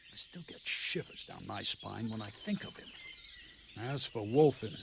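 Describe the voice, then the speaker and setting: An actor voicing a grim, practical medieval captain in a video game, with measured, instructive delivery. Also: male voice, on the low side, very animated, vocal fry, background noise. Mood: grave.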